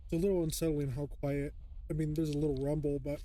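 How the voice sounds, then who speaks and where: A man giving casual, musing reaction commentary in an unhurried, hesitant way. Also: on the high side, little pitch movement, mellow. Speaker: reaction video host.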